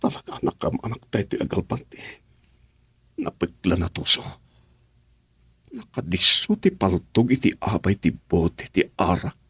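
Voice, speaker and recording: A man playing a nervous, deferential henchman in a radio drama, with a husky voice. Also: deep voice, very animated, moderate pace, pauses often, fairly bright tone, no echo, full character voice. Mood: subdued. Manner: pleading, deferential. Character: nervous male gang henchman, deferential to his boss.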